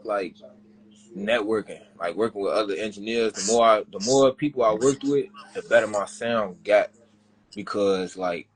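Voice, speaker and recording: Deep-voiced young rapper talking shop. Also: male voice, moderate pace, poor audio. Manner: rambling, repeats himself, loose slang. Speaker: rapper being interviewed. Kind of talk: casual interview chat.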